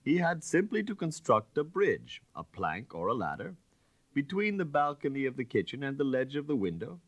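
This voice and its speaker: A man voicing a suave, self-assured gentleman detective in an audiobook story, calm, precise and dogmatic. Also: mid-range voice, moderate pace, dry recording, pitched above the performer's own voice. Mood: confident, dogmatic.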